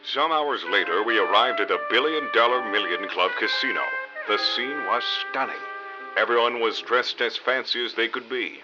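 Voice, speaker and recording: An actor playing a hapless, dim novice private detective, narrating a parody noir radio drama in deadpan melodrama. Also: male voice, mid-range voice, normal speed, natural voice. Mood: brooding narration. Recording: dull lo-fi audio under loud music.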